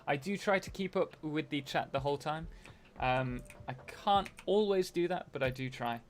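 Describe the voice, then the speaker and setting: A man's voice: on the high side, moderate pace. A young man hosting a casual live stream while playing a game, rambling and answering chat messages.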